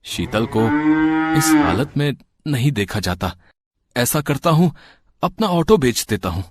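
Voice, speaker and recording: A man's voice: medium pitch, very animated, unhurried, no echo. Man acting an earnest, poor husband, dry sound. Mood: worried. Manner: earnest, tender, pleading. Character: loving, poor husband and worried auto driver. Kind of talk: acted dialogue in a Hindi moral story.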